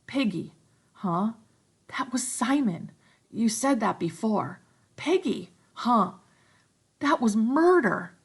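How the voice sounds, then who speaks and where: Troubled, stricken boy, read by a woman; raised pitch, husky tone. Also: lively intonation, takes it slowly, pauses often, exaggerated voice. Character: shaken, guilt-stricken boy leader.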